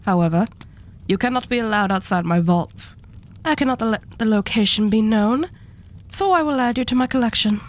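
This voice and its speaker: A woman playing an arch devil who hoards relics, speaking with unhurried, condescending and politely menacing poise in a scripted ASMR roleplay. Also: mid-range voice, close to an everyday voice.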